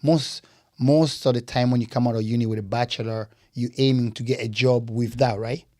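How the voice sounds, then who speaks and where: A man's voice: medium pitch, steady tone, a little fast, a little mellow, no room sound, studio quality. A man hosting a podcast interview in casual chat, recapping his guest's story and cheering her on with "nice, nice". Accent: Scottish. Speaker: podcast host.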